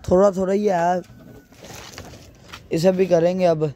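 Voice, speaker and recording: A young man with a hoarse throat chatting casually as a vlogger, rambling loosely as he shows things around an outdoor rooftop while filming on a handheld phone. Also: sits high, fairly even intonation, slow, background noise. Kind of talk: casual vlog chat.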